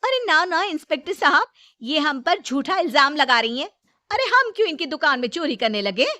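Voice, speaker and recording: A woman's voice: sits high, very animated, moderate pace, sharp tone, dry recording, studio quality, voice pushed up, full character voice. A woman voicing a scheming, resentful young daughter-in-law in a Hindi moral story, indignant and exaggerated. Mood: indignant denial.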